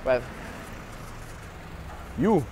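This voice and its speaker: A young man in a casual selfie vlog, joking and boasting in street slang as he walks around outdoors, talking into a wired earphone mic. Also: drawn-out words. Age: young adult. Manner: joking, swaggering, self-mocking street slang. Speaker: vlogger. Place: outdoors on city streets and inside a shop. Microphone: wired earphone mic, handheld phone from below. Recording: loud street noise from traffic.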